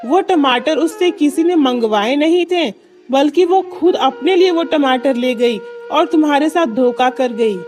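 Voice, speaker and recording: A high-voiced, kindly magical tree from a children's cartoon, played by a woman. It talks like an earnest, reassuring friend, explaining things patiently, with music playing behind. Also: animated, a little slow, a little mellow. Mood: earnest, revealing. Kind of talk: children's cartoon story dialogue.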